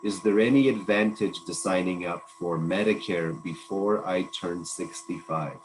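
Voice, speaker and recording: A man moderating a webinar, quickly reading audience questions aloud over a video call. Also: low-pitched, background noise.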